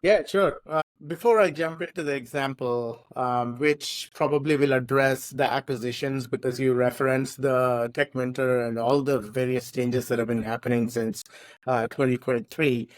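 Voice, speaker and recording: A man's voice: medium pitch, steady tone, normal speed, no echo. Earnest man, dry close sound. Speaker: podcast co-host, tech modernization expert. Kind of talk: conversational podcast discussion.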